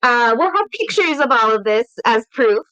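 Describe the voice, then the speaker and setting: A woman's voice: on the high side, lively intonation, normal speed, sharp tone, no echo, dull audio. A woman hosting a casual podcast, chatty and upbeat, laughing easily. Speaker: podcast host and editor. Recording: dry room, some static.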